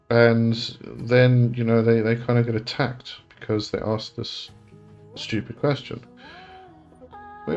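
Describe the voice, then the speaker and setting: A man's voice: mid-range voice, a little mellow, dull audio. A man in his forties with an English accent, hosting a casual live chat and answering viewers' questions, rambling and correcting himself as he goes. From England. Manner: rambling, self-correcting, chuckles often.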